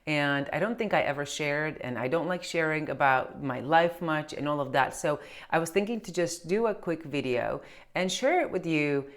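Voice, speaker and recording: Chatty low-voiced woman. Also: moderate pace. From Romania. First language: Romanian. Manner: chatty, many fillers and restarts. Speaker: accountant and business owner. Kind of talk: casual chat to camera.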